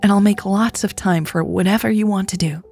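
A woman voicing a tender, secretive woman in an audiobook, speaking softly and breathily in a gentle, apologetic, pleading manner. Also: mid-range voice, steady tone, a little fast, soft background music, voice pushed up. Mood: earnest, pleading. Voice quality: soft, breathy, half-whispered. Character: Elle, tender secretive woman leaving her lover at night. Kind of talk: audiobook character dialogue.

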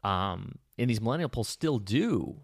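Deep-voiced man musing thoughtfully. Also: big pitch swings, unhurried, dry recording, clean studio sound. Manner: reflective, restarts sentences while thinking aloud. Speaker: podcast co-host and public speaker. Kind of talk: casual conversational chat.

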